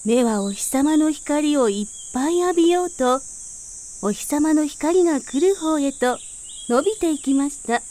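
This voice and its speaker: A woman storyteller reads a children's fairy tale. Her voice sits very high, and she delivers the narration as a scripted picture story for small listeners. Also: animated, a little slow, warm tone.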